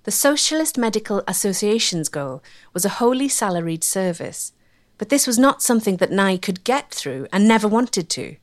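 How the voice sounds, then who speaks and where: A woman giving measured, scripted narration for a history documentary. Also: medium pitch, sing-song. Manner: measured, articulate.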